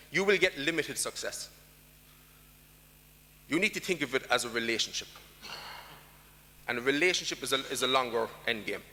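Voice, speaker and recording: An Irish man speaks from the stage of a large conference hall, his bright, forceful voice trailing a long reverb. He drives his points home with emphasis and keeps putting questions to the room. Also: mid-range voice. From Ireland. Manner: emphatic, rhetorical questions to the audience. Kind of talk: conference talk.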